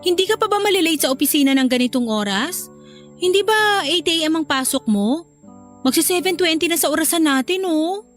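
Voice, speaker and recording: Casting: young married wife for radio drama, very high-pitched, dutiful with a watchful jealous streak. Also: female voice, expressive, fairly quick, soft background music. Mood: affectionate, teasing. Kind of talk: Filipino radio drama acting.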